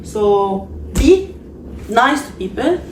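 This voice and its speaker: A woman's voice: on the high side, big pitch swings, echoey room, recorded from a distance, background noise. A woman from Lebanon, hosting a casual podcast chat and cheering her guest on. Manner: encouraging, quick interjections. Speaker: podcast host.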